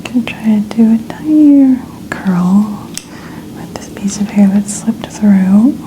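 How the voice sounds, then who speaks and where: Woman doing asmr hair styling, super mellow whispery voice, gentle and soothing, bit of background noise. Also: medium pitch, takes it slowly, poor audio. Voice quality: soft, breathy near-whisper. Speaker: ASMR creator. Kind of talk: ASMR hair-styling narration, soft-spoken.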